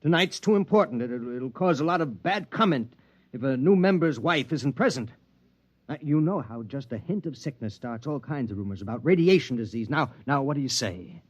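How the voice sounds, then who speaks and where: An American actor playing a domineering, ambitious, suspicious husband in a sci-fi radio drama, with a stern, lecturing manner. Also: male voice, mid-range voice, animated, fairly quick, lightly acted. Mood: angry, impatient. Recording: dull, band-limited vintage radio recording.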